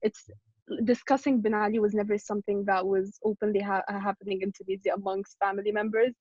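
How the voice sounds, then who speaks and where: A woman's voice: mid-range voice, little pitch movement, fast talker, darkish tone, no room sound. A woman in her twenties sharing her opinions casually as a participant in a group discussion. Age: 20s. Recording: dry, dull online call audio.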